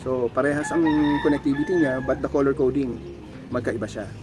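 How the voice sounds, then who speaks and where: A man's voice: medium pitch, fairly even intonation, brisk. A man with a Filipino accent giving a casual, explanatory product tutorial, often checking in with short tag questions. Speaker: tech tutorial presenter. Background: music.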